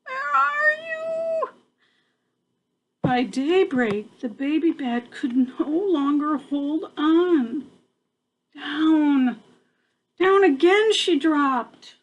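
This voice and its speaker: An older woman reading a picture book aloud to a child, expressive, with casual asides and exclamations. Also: high-pitched, very slow, muffled recording.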